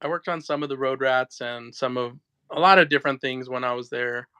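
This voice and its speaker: A man's voice: mid-range voice, brisk, pushed and bright, clean tone, no room sound. A man in his forties, a guest in a casual chat, easygoing and laughing often as he trails off. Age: 40s.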